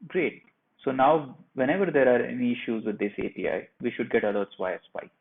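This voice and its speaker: A man presenting a software tutorial, narrating each step of a screen share in a plain, instructional way. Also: medium pitch, lively intonation, fairly quick, gravelly, a little hiss or hum. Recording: muffled phone-like narrow band.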